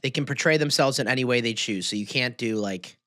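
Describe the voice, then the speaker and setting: A man's voice: medium pitch, fairly quick, sharp tone, no room sound, studio quality. An American man from the Midwest chatting casually as a podcast co-host, often questioning and self-deprecating. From Midwestern United States. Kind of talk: casual chat.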